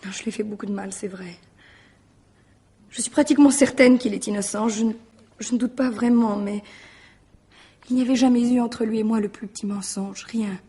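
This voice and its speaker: Husky, guarded, distraught young woman. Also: a little high, moderate pace, long pauses, warm tone. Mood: anguished. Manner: formal, evasive, hesitant. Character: distraught, guarded young mistress of a murder suspect. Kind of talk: French radio mystery drama acting.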